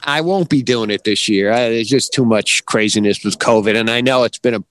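A middle-aged man speaks as a podcast co-host in a casual, chatty style. His voice sits at an ordinary male pitch, and the sound is dry, with very little room around it. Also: fairly quick, fairly bright tone, dry recording. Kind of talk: casual chat about writing, with some reading aloud.

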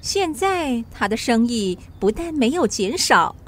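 A woman's voice: fairly high pitch, sing-song, a little slow, a little hiss or hum. An actress in a radio drama playing a vain, pampered French society lady who dotes on her dog, gushing and boastful. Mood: smug boasting.

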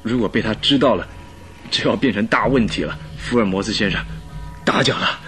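An actor playing a timid, cowed gentleman in a radio drama, speaking earnestly and anxiously. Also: on the low side, brisk, over music, lightly acted. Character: timid, cowed gentleman secretly warning of his master.

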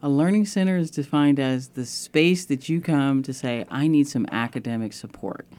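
Woman calmly explaining her nonprofit. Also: very deep voice. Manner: explanatory, measured. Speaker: nonprofit co-founder, guest. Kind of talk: radio interview.

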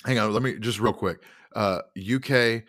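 Male podcast co-host, very deep, dry close mic, halting, fact-listing delivery. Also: a bit bright, dry recording. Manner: dry, reads off facts with hesitant fillers. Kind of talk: casual chat.

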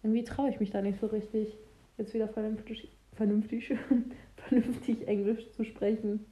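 A young woman talks casually and candidly, now and then restarting a phrase. Her voice is mellow and soft, at a middle pitch. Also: flat delivery. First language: German. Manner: chatty, candid, some halting restarts. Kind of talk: casual conversation.

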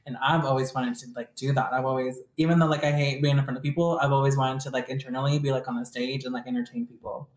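A woman's voice: rumbling low, steady tone, quick pace, few pauses, a little mellow. A young woman chatting casually with friends, rambling with lots of fillers. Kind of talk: casual chat. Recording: echoey room.

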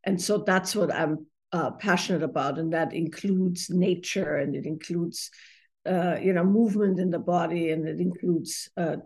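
Thoughtful woman from Austria, lowish voice. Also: a little mellow. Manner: thoughtful, many fillers, laughs easily. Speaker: intimacy therapist and author. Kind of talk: reflective interview answers.

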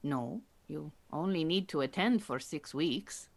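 A woman acting a calm, exacting Iranian refugee beekeeper in an audio drama, speaking in a measured, dry and formal way. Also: low-pitched, gravelly, full character voice. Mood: dry, fond.

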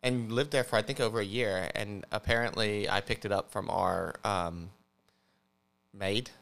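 A man co-hosting a podcast, explaining things casually in a halting way, often stammering and correcting himself. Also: on the low side, steady tone, creaky, fairly bright tone. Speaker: podcast host.

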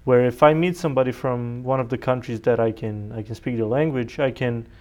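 A man's voice: medium pitch, steady tone, fairly quick, a little mellow. A young man from Serbia, a native Serbian speaker, answering interview questions conversationally as a college student guest. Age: young adult.

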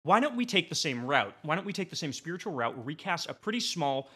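A man with a bright, clear-edged voice of ordinary pitch talks as a guest in a casual debate. He delivers a rambling comic pitch in a mock-serious way, as if every point matters. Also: sing-song, fast. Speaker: podcast guest. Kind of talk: animated comedic pitch in a casual panel debate.

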